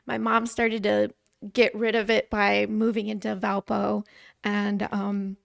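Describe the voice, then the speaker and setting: A woman's voice: mid-range voice, flat delivery, slow pace, gravelly, dry recording, clean studio sound. A woman from Indiana chatting casually as a podcast co-host, laughing often. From Indiana, USA. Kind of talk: casual chat.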